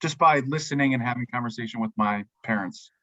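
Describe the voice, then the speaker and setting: A man introducing himself on a video call, in a friendly, slightly halting way. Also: medium pitch, a bit bright, no echo, highs cut off. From Colombia, raised in the US.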